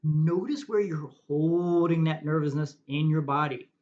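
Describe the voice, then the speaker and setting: Man leading a calm guided meditation. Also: a little high, measured pace. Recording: dull lo-fi recording.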